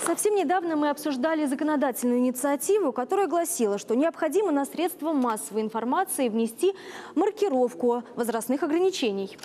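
A woman's voice: high voice, expressive, fairly quick, warm tone, some chatter behind, a little hiss or hum. A woman in her thirties presenting as co-host of a talk show in a television studio. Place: TV studio, standing.

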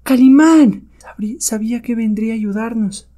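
An anxious adventure sidekick, put on by a male narrator, high and breathy. The figure exclaims in fear and awe, as in a comic-book story. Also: flat delivery, fairly quick, warm tone, natural voice. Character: frightened, awestruck captive companion of the hero.

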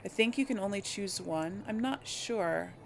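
A woman's voice: medium pitch, animated, a little slow, vocal fry. A woman doing casual game commentary, thinking aloud in a tentative way. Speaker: gaming video host and player. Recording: some background noise.

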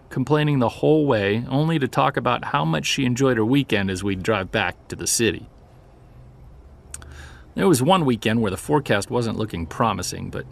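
A man's voice: medium pitch, gravelly. A man acting as a witness who recounts an unsettling childhood memory in a hesitant, conversational audio drama style. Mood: wistful, wry. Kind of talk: naturalistic audio drama storytelling.

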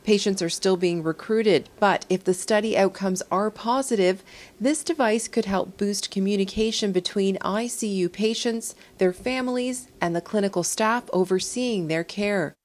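A woman's voice: medium pitch, moderate pace. A woman narrating a news report from a script.

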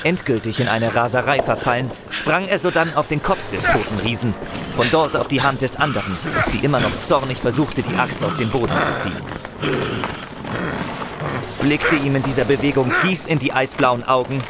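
A man's voice: medium pitch, moderate pace. A man narrating a fantasy audio play in a dramatic, scripted storytelling style. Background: loud music.

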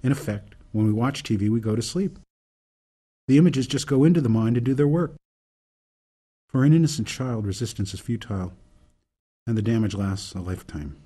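A man acting in a radio drama as an earnest older reformer, delivering a deliberate, solemn, speechifying monologue. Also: medium pitch, lively intonation, warm tone, husky. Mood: grave, urgent. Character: earnest older male reformer laying out a grave case.

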